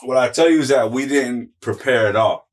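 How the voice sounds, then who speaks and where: A man's voice: medium pitch, moderate pace, recorded from a distance. A man in his forties from Miami, a guest telling stories in a loose, rambling way with lots of fillers and slang. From Miami, Florida. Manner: rambling, many fillers and restarts, profane slang. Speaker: interview guest, musical artist. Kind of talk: casual chat, storytelling.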